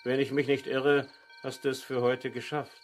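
An actor playing a jovial, teasing, patronising uncle in a 1970s German children's radio play. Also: male voice, mid-range voice, flat delivery, normal speed, slight background noise, lightly acted.

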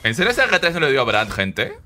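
A young man from Lima with a Peruvian accent, chatting and laughing while streaming a game, swearing and getting excited. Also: mid-range voice, a little fast. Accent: Peruvian Spanish from Lima. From Lima, Peru. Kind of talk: casual gameplay commentary. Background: game music and sounds.

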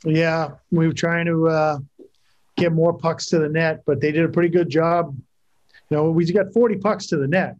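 Man, forties, hockey coach, postgame press call, laptop mic, fairly high voice, halting fillers. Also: steady tone, a little mellow, lo-fi sound. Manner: plain-spoken, frequent restarts and fillers. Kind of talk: postgame press conference answers. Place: office with cinder-block walls. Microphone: laptop video call.

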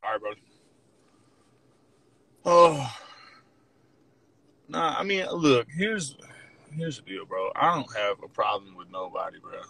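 A man's voice: mid-range voice, animated, takes it slowly, pauses often, bright, forceful tone, slight background noise, poor audio. A young man hosting a phone livestream from his car, laid-back and slangy. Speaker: livestream host. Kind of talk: casual chat. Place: parked car.

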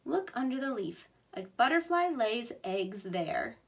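High-voiced woman teacher reading to little children. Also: big pitch swings, unhurried. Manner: simple, clearly emphasized words for small children. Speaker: preschool teacher. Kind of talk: read-aloud for young children.

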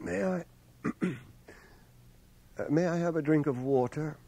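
An actor playing a wounded army sergeant in a radio drama, dazed and polite, speaking in a hesitant, faltering way. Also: male voice, on the high side, unhurried, vocal fry, mellow, slight background noise.

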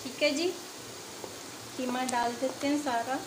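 High-voiced woman giving cooking steps. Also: fairly even intonation, measured pace, background noise. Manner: chatty, explains each step, frequent "ji" fillers. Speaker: home cooking channel host. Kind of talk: casual cooking demonstration. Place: home kitchen at the stove. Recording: echoey kitchen with loud sizzling.

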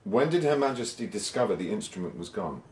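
An actor playing a grave, courtly official in a radio drama, measured and dry, in a roomy space. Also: male voice, lowish voice, sing-song, darkish tone. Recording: roomy, echoing sound.

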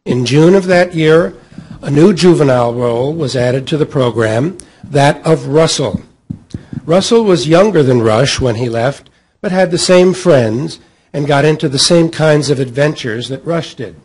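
A middle-aged American man with a husky voice, reading a scripted introduction as a panel moderator. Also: fairly high pitch, a little slow, darkish tone, low-quality recording. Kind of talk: scripted introduction read aloud. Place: hotel meeting room at a convention. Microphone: table microphone on a stand.

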